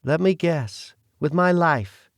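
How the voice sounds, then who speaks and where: A man's voice: fairly high pitch, slow, no room sound, studio quality, voice pushed up. A man voicing a wary, defiant young woman who speaks sharply.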